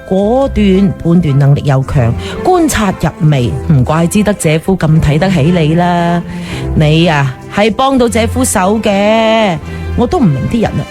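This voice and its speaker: Gossipy pushy sister-in-law in a radio drama, very emphatic, music clearly behind her. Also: fairly low pitch. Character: chatty, forthright sister-in-law, effusive and pushy.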